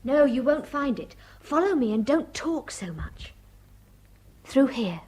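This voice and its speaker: An actress playing a brisk, practical young woman of the house who gives commands. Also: sits high, very animated, a little mellow, roomy sound, distant mic. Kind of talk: 1970s radio drama acting.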